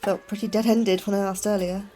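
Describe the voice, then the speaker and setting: A woman's voice: medium pitch, flat delivery, fairly quick, background noise, close to an everyday voice. An actress playing an anxious, sceptical woman astrobiologist in a sci-fi horror audio drama, hesitant and doubtful. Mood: sceptical, uneasy.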